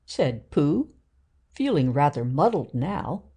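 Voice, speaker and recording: A woman's voice: sits low, sing-song, takes it slowly, dark tone, husky. A woman narrating a children's story from a script as a storyteller.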